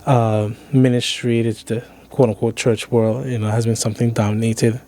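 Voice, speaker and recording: A man interviewing a guest in a casual conversational way, feeling out his phrasing with hesitant pauses. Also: mid-range voice, flat delivery, dark tone. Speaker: interviewer, host.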